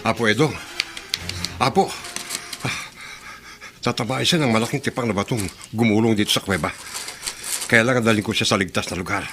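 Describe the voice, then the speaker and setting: A man's voice: fairly low pitch, brisk, pauses often, close to an everyday voice. An actor playing a distressed, dutiful man attempting a rescue in a horror radio drama. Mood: alarmed, concerned. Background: music.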